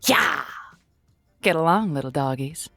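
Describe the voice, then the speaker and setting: A woman's voice: sits low, expressive, a little slow, sharp tone, no echo, soft background music, exaggerated voice. An actress playing a sultry, playful woman who hosts a lonely-hearts radio call-in show with theatrical, encouraging patter. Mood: rousing, seductive. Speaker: late-night radio call-in show host. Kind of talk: radio drama, on-air monologue and chat.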